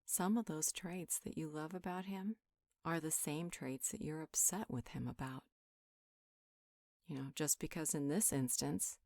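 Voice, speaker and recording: A woman performs a calm female healer for a fantasy roleplay audio. Her voice sits low and is recorded dry and close to silent of any room. She counsels in a measured, conversational way, reasoning things through and reassuring as she goes. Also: no room sound. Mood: sympathetic, firm. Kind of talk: conversational roleplay monologue, one side of a dialogue.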